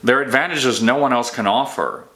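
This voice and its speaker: A man's voice: mid-range voice, moderate pace, pushed and bright, pitched above the performer's own voice, natural voice. A man voicing a king's loyal, trusting brother in a fantasy audiobook, earnest and reasoning. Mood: earnest, hopeful.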